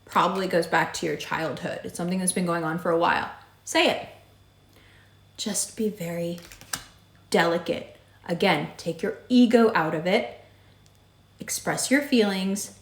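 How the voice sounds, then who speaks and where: A woman with a raspy voice giving a monthly horoscope reading, direct and emphatic, repeating her advice. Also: lowish voice, a little slow, long pauses. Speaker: astrology reader. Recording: echoey room.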